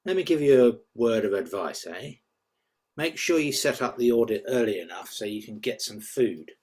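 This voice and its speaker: A man's voice: medium pitch, expressive, moderate pace, a little mellow, husky. A man playing a grumpy, world-weary hotel worker in his thirties, offhand and laconic, in a script reading. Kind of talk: script table-read acting.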